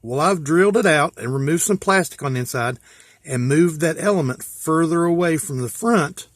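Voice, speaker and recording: Higher-pitched American man, folksy and plain-spoken. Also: lively intonation, moderate pace, dry recording, studio quality. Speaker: hobbyist presenter. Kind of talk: casual hands-on gear walkthrough.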